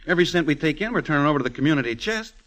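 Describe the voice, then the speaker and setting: An actor playing a breezy, flattering, smooth-talking male army sergeant in 1940s radio style. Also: on the high side, very fast. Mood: breezy, coaxing. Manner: breezy, cajoling. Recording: echoey, reverberant old radio broadcast.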